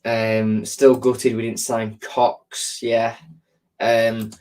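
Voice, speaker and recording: A man's voice: medium pitch, little pitch movement, very slow, darkish tone. Guy on a live stream, blocked nose and sore throat, chatting to chat, lots of ums, rambly. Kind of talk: casual live chat answering viewer comments.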